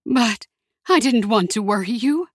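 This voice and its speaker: A woman's voice: on the high side, expressive, measured pace, no room sound, studio quality, voice pushed up. A woman voicing an anxious older aunt from Mexico, with a trembling, pleading manner and a touch of disapproval. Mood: worried.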